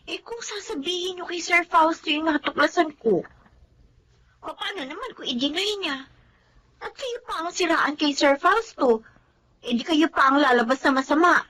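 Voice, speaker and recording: A woman's voice: very high voice, normal speed, sharp tone, poor audio. A woman playing a housemaid's caring young daughter who speaks respectfully and with concern. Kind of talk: radio drama acting.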